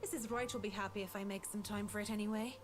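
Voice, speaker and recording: A woman's voice: medium pitch, fairly even intonation, quick pace, music in the background, close to an everyday voice. A woman playing a guilt-ridden, anxious young woman in a horror game, with an earnest, fragile, dramatic delivery. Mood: weary resolve.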